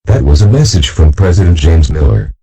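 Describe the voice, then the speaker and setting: A man playing a solemn broadcast announcer with a gravelly voice, speaking in a formal, portentous way. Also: rumbling low, lively intonation, fairly quick, dark tone, full character voice, poor audio.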